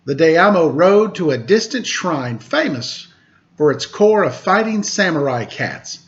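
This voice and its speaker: A man hosting a storytime, reading a children's tale aloud with measured, pausing phrasing. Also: fairly high pitch, sing-song. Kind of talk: read-aloud storytelling with casual introduction. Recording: dull lo-fi recording.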